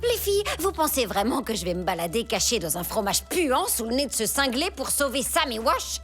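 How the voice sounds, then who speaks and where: A woman's voice: a little high, big pitch swings, fairly quick, fairly bright tone, soft background music. A woman playing a proud, haughty female cartoon house cat with a huffy delivery. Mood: haughty, offended. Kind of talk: animated cartoon acting.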